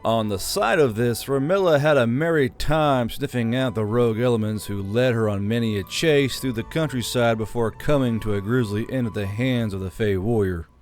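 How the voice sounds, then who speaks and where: A man narrating a fantasy novel in a steady storytelling manner, with dry, flat touches. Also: mid-range voice, moderate pace, hardly any pauses, faint music behind. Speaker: audiobook narrator.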